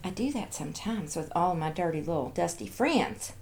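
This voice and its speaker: A woman's voice: lowish voice, lively intonation, normal speed, darkish tone. A woman hosting a casual show-and-tell, chatting playfully and cooing as if to little toys and viewers. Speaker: host of a toy show-and-tell video.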